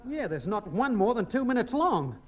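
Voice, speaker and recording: An actor playing a wry, sympathetic film colleague in 1950s radio comedy style. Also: male voice, very high-pitched, big pitch swings, normal speed, lo-fi sound, exaggerated voice.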